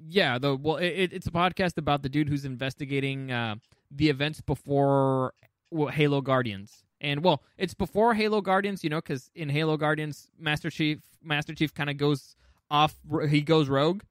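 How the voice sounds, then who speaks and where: Higher-voiced man, casual podcast chat, dry sound. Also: fairly even intonation, moderate pace, no echo. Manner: informal, enthusiastic, swearing. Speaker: podcast co-host.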